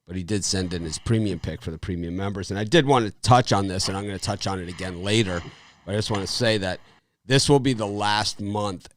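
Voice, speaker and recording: A man's voice: fairly low pitch, fairly quick, no echo. A man hosting a live sports betting show, chatting casually and rambling a little, with restarts and short laughs. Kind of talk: casual live-stream chat.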